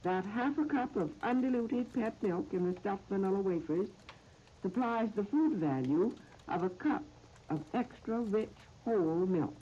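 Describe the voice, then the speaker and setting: An American woman presenting a scripted 1930s radio cooking demonstration in a measured, instructional, carefully phrased manner. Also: medium pitch, lively intonation, dark tone. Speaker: cooking show host. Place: radio studio. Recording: dull, noisy 1930s radio disc recording.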